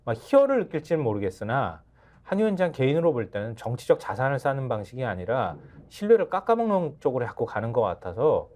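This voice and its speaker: A middle-aged man giving political commentary on a studio talk show, animated and acting out quotes in a higher voice. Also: quick pace. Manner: animated, acts out quotes with sudden pitch jumps.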